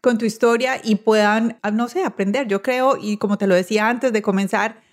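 A woman's voice: on the high side, steady tone, moderate pace. A woman hosting an interview, welcoming her guest and asking questions. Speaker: interview host.

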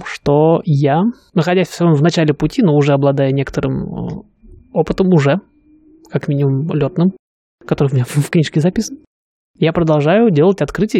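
A man's voice: a little high, mellow, dry recording. A man co-hosting a casual podcast, talking reflectively and with enthusiasm, thinking aloud with fillers. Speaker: podcast co-host, student pilot.

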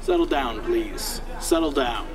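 A man playing a brisk, authoritative school principal in an audio drama, speaking over a noisy assembly crowd. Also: medium pitch, animated, lightly acted. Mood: firm.

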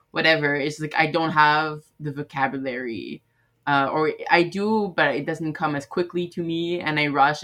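A young woman from Quebec answering interview questions in a relaxed, loose way with fillers. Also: sits low. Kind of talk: casual recorded interview.

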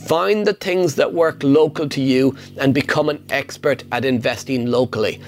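A man's voice: mid-range voice, normal speed, a little hiss or hum. A middle-aged man hosting a casual Q&A, answering viewer questions on property investing in an upbeat, emphatic way. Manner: upbeat, emphatic, punchy repetition.

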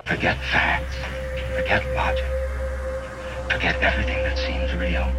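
A man with a husky, breathy voice delivering a dramatic scripted podcast intro. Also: low voice, fairly even intonation, measured pace, bright, forceful tone, no room sound. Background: faint music.

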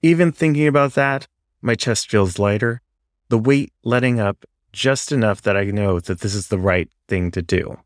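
A man's voice: fairly low pitch, dry recording, studio quality. A man narrating a first-person story in an earnest, confessional manner.

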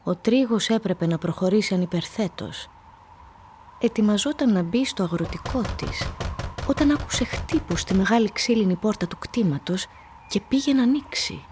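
A woman's voice: medium pitch, animated, darkish tone, a little hiss or hum. A woman giving scripted literary narration for a radio drama. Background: music.

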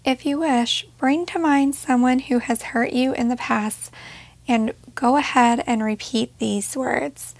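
A woman leading a guided meditation in a gentle, measured way, leaving pauses between short phrases. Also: sits high, fairly even intonation, dry recording.

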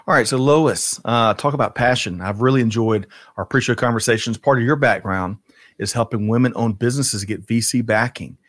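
A man's voice: medium pitch, fairly quick, a bit bright, no echo, clean studio sound. A man moderating a webinar panel, upbeat and affirming as he passes questions to guests. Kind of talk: hosting a panel discussion.

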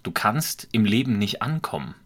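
A man with a light Franconian accent, a podcast guest talking in a reflective, measured way and explaining with examples. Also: fairly low pitch, very animated, a bit bright. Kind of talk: conversational podcast talk.

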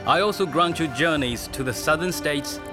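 An actor playing a gracious, regal Chinese emperor in a radio drama, speaking in a measured way. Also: male voice, on the high side, close to an everyday voice. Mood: gracious, pleased. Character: stately, gracious Chinese emperor with grand ambitions. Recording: music behind the voice.

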